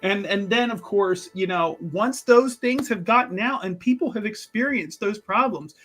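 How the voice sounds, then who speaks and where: A man playing an ambitious, vindictive underground boss in a tabletop game, speaking emphatically and haltingly with many repeated phrases. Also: high-pitched, fairly bright tone, voice pushed up. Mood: indignant, scheming. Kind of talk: improvised tabletop roleplay.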